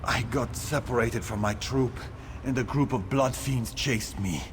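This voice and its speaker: A man's voice: mid-range voice, measured pace, noisy background, natural voice. An actor playing a wounded, treasure-eager soldier in a video game, telling his tale earnestly and plainly. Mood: weary, eager. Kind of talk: scripted video game dialogue.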